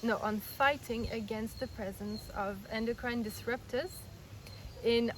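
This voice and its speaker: Woman, fairly high voice, earnest and patient, interviewed outdoors with clear noise behind. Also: fairly even intonation, unhurried. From Belgium, raised in France. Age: 30s. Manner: earnest, explains step by step with many restarts. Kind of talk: casual explanatory interview answers. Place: outdoors in India.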